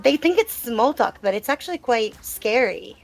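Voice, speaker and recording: A woman's voice: a little high, animated, moderate pace, creaky. A woman gamer answering questions in a casual live Q&A, talking in a reflective, explanatory and conversational way. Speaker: gamer being interviewed. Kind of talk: live Q&A, casual personal talk.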